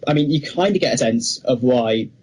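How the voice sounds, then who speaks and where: Slightly husky man, mid-pitched. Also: expressive, normal speed, darkish tone. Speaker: podcast co-host. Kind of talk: casual film discussion.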